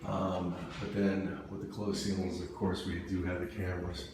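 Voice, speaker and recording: A man with a fairly low voice narrates an informal walkthrough of an installation job. He talks haltingly, working out his thoughts as he goes. The sound is echoey, with loud ambient noise around him. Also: little pitch movement. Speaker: security camera and intercom installer presenting a job. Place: walking around an apartment complex building.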